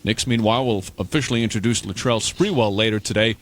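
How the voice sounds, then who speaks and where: A man's voice: on the low side, fast talker, a little hiss or hum. A man reading a scripted sports report on morning radio.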